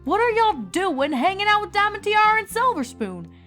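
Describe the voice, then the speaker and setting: A woman's voice: very high voice, sing-song, moderate pace, fairly bright tone, soft background music, pitched above the performer's own voice, exaggerated voice. A woman voicing a plain-spoken country pony, an exasperated big sister with a folksy drawl.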